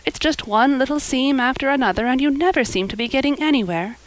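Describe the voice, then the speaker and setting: A woman voicing a breathless, excitable and dreamy young girl in a storybook, chattering and gushing dramatically. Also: high-pitched, quick pace, dry recording, voice pushed up, close to an everyday voice, low-quality recording. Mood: wistful. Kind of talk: audiobook character acting.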